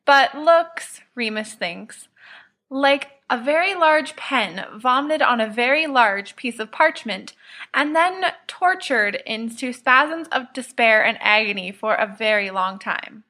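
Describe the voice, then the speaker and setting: A woman's voice: on the high side, lively intonation, slow, sharp tone. A woman reading scripted fiction aloud as an audiobook narrator. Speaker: podfic reader, narrator.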